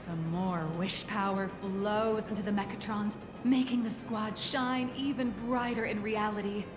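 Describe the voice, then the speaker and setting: A woman's voice: medium pitch, lightly acted. A woman voicing a confident young woman in an anime-style game, with a teasing, assured manner. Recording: long echoing reverb, music behind.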